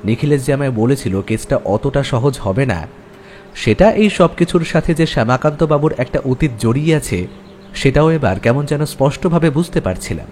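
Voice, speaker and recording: A man's voice: medium pitch, expressive, normal speed, darkish tone, a little hiss or hum. A man narrating a scripted detective story in the first person.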